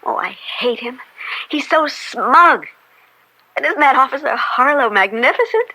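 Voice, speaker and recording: A woman's voice: high voice, lively intonation, unhurried, sharp tone, slightly rough, heavily acted, poor audio. A young woman acting in a radio drama as a judge's earnest, opinionated American daughter, gushing and emphatic. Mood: indignant, gushing. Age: young adult.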